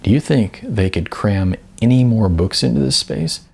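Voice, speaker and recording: Guy reading as a young wannabe actor, naive, eager, stammery, raspy lively voice, audiobook. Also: lowish voice, exaggerated voice. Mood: amused, teasing. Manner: hesitant, with stammers and pauses. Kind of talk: audiobook character dialogue.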